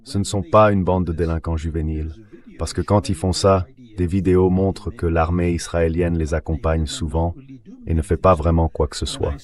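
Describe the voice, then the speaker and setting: A man with a deep, very low synthetic voice speaks as an interview guest. He gives political analysis in a translated, dubbed delivery. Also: flat delivery, moderate pace, a little mellow.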